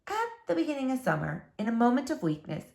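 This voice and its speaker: Very animated woman reading to children. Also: on the high side, fast, vocal fry. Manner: addresses children, dramatic emphasis. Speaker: read-aloud storyteller for children. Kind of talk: expressive children's book narration.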